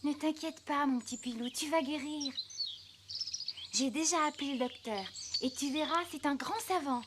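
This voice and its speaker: A woman voicing an eager, chatty young girl in a French animated film, earnest and excitable. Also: high voice, normal speed, background noise, natural voice. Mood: reassuring. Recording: music behind the voice.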